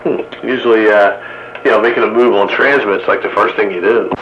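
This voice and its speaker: A man in casual radio chat, explaining technical things in a halting, matter-of-fact way. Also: fairly low pitch, fairly even intonation, dull audio, slight background noise. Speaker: radio hobbyist.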